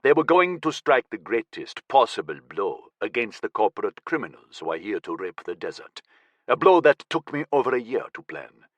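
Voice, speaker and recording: A man voicing a cold, menacing militant leader who speaks slowly in a low, dangerous tone. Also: sing-song, dry recording.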